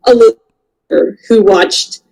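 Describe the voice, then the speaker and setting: A woman's voice: on the high side, fairly even intonation, slow, a little mellow, rough recording. A woman co-host chatting casually and giving her opinions on a panel show.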